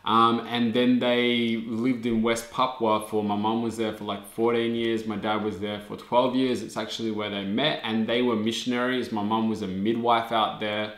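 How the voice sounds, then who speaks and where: A young man chatting casually with his partner in a Q&A vlog, telling stories with lively acted-out quotes. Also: lowish voice, normal speed, hardly any pauses. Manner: animated storyteller, acts out quotes. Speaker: vlogger co-host. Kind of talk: casual couple Q&A chat. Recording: roomy echo.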